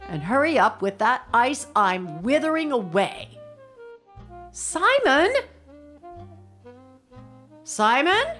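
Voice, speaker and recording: A woman's voice: sits high, sing-song, slow, long pauses, fairly bright tone, soft background music, heavily acted. An actress playing a demanding, melodramatic, complaining middle-aged mother in a radio drama, nagging and querulous. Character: demanding, complaining, possessive mother of a grown son.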